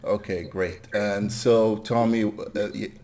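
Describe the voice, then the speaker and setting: Low-voiced man interviewing a guest. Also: steady tone, takes it slowly, a little mellow. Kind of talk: conversational interview.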